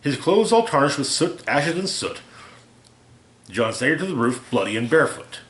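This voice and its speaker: A man reading a rhyming holiday parody aloud as a storyteller, with theatrical, deliberate delivery. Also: fairly high pitch, big pitch swings, fairly bright tone. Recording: roomy, echoey home recording.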